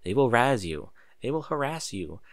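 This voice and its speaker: A man voicing a kindly, wise pony princess in a storybook, gentle, measured and reassuring. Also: mid-range voice, big pitch swings, no room sound, studio quality.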